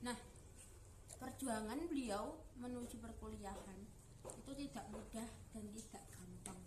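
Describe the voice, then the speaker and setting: A woman's voice: on the high side, a little slow. Young woman, echoey noisy room. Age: young adult. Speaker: university student giving a presentation. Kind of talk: informal explanatory presentation. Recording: roomy echo, clear background noise.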